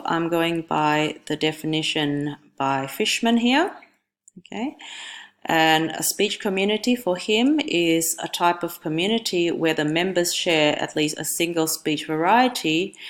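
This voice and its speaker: Low-voiced woman presenting coursework. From Indonesia. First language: Indonesian. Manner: careful, measured, with frequent ums and self-corrections. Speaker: student presenting an assignment. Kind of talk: recorded class presentation.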